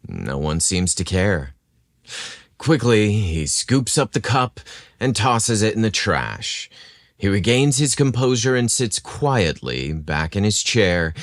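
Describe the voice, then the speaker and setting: A man reading a scripted romance story as a podcast narrator, with a measured, dramatic storytelling delivery. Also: deep voice, fairly bright tone, no echo.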